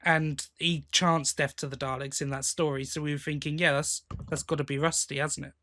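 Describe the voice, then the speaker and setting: A man's voice: on the high side, little pitch movement, a little fast, bright, forceful tone. A man co-hosting a podcast, chatting casually about news. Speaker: podcast co-host. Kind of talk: casual chat about sci-fi audio drama news.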